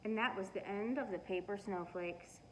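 A middle-aged woman reading a children's storybook aloud with expressive, emphatic storytelling. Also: mid-range voice, normal speed. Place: living room, indoors. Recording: noisy living room recording.

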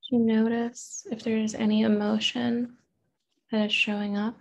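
A woman therapist leading a guided meditation in a gentle, unhurried manner, with pauses and open questions. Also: fairly high pitch, flat delivery, vocal fry.

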